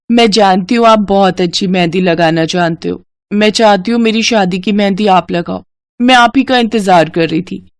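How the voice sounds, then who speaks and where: A woman's voice: mid-range voice, dry recording, close to an everyday voice. A woman voicing eager, sweet and respectful young village women in a moral story cartoon. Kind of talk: animated moral story dialogue.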